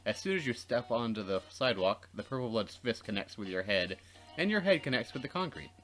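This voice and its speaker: A man's voice: mid-range voice, expressive, fairly quick, fairly bright tone, faint music behind. A man doing a let's play, narrating dramatically and slipping into casual, jokey banter. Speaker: let's player reading a visual novel aloud.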